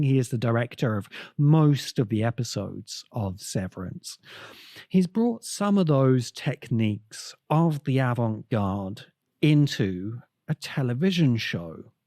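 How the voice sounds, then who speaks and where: A man delivering video essay commentary as a podcast host, with deliberate, emphatic phrasing and dramatic pauses. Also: mid-range voice, dark tone, no room sound, studio quality.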